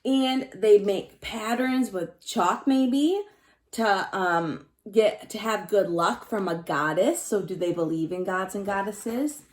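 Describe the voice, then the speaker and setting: A woman's voice: medium pitch, slow pace. A woman teacher reading a picture book aloud to young pupils, stopping to explain things in simple words and put questions straight to the children. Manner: simple explanatory phrasing, addresses children directly, emphasizes key words.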